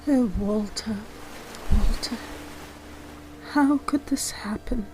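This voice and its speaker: A devoted, grieving young woman , played by a woman, earnest and emotional. Her fairly high voice sits over soft music in this old-style radio play. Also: takes it slowly, low-quality recording. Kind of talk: old-time radio drama acting.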